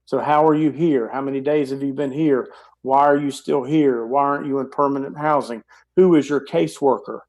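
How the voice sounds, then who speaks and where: A man's voice: mid-range voice, steady tone, brisk, darkish tone, low-quality recording. A man in his forties, a guest on a video call, earnestly and carefully explaining practical steps through an earbud mic. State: losing his voice. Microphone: earbud headset on video call.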